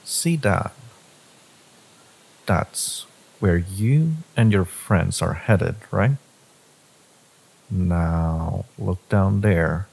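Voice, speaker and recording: A man voicing a brooding, devoted fantasy villain in a romance audio roleplay, speaking deliberately in a low, gravelly, husky voice. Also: darkish tone, exaggerated voice, poor audio. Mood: quiet, reflective. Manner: slow, deliberate, with pauses and sighs. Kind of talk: dramatic second-person romance audio roleplay.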